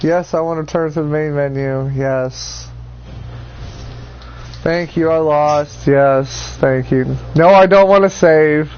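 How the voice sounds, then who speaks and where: A man streaming a game in casual chat. He sounds congested from a cold, gets excitable, laughs often and swears. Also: fairly high pitch, slow pace, a little hiss or hum. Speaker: gameplay streamer. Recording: dull lo-fi audio with faint music.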